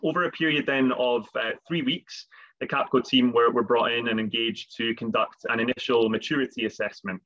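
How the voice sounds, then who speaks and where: A man's voice: mid-range voice, steady tone, a little fast, bright, forceful tone. A man in his thirties presenting a business webinar on a video call, often repeating words as he goes. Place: home office, video call. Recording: dull lo-fi video call audio.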